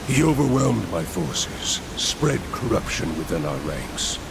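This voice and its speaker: Deep, gravelly angel commander. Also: male voice, sing-song, unhurried, noisy background, full character voice. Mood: grave, sorrowful. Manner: grave, formal, weighty. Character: stern, grieving old warrior angel commander. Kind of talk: video game voice acting.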